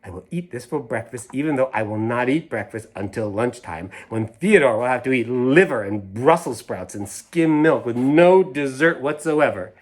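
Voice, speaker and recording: A woman's voice: a bass voice, animated, normal speed, few pauses, darkish tone. A man voicing a sulky, indignant little girl from a storybook, making pompous, emphatic declarations. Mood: defiant.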